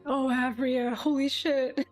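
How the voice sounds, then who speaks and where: A woman's voice: high-pitched, fairly even intonation, slow. Emotionally invested woman game streamer. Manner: emotionally invested, sweary, thinking aloud. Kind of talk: casual reaction commentary. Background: faint game music.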